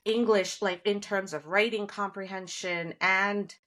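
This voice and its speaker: Animated woman from india, bright sharp voice, laughs a lot chatting as a guest, 40s. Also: mid-range voice, measured pace. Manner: animated, laughs often while telling stories. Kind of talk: casual conversation.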